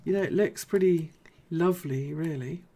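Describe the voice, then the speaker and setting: Deep-voiced woman chatting through charts. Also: moderate pace, warm tone. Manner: casual, chatty, thinking aloud. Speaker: host. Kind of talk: live market analysis.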